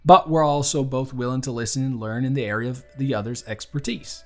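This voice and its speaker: An earnest, charming young bartender, acted by a man, speaks with a Southern US accent in a medium-pitched male voice. He is blunt yet sweet-talking, as in an intimate romantic audio drama. Music plays beneath his words. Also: fairly even intonation, a little fast, close to an everyday voice. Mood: earnest, admiring. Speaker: audio roleplay performer. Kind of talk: intimate audio roleplay acting. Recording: music playing under the voice. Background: acoustic music.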